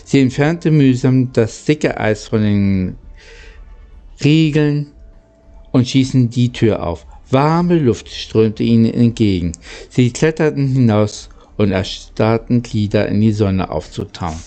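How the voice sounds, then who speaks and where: Guy reading out loud kinda slow, soft dark voice, trips on words a bit. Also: medium pitch, expressive, soft background music. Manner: halting reading with occasional misreadings. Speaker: audiobook reader and channel host. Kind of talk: storybook narration.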